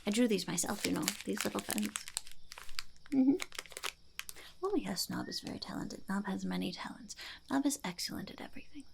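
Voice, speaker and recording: A woman voicing a smug, blunt female goblin in an ASMR roleplay, speaking in a croaky half-whisper and self-importantly. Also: medium pitch, moderate pace, long pauses, noisy background. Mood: smug, pleased. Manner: self-important, refers to herself in third person.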